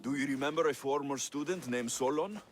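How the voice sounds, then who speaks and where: An actor playing a cocky, confident Greek mercenary hero in a video game, dry and taunting. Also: male voice, medium pitch, fairly quick, music in the background, close to an everyday voice. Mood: probing.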